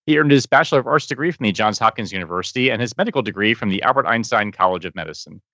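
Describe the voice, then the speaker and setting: A man co-hosting a podcast, reading a guest's introduction in a brisk, upbeat way. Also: fairly low pitch, lively intonation, sharp tone, no echo. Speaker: podcast co-host. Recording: slightly dull audio.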